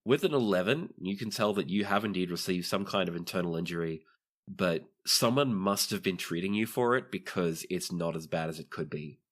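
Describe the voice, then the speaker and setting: A man's voice: low voice, fairly quick. A man narrating a tabletop roleplaying game as the game master.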